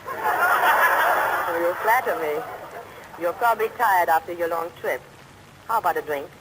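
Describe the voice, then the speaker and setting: An actress playing a coy, flirtatious saloon singer and hostess in 1940s radio comedy style. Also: female voice, on the low side, very animated, measured pace, a bit bright, a little hiss or hum, poor audio. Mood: flirtatious, welcoming. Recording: old radio recording under rain.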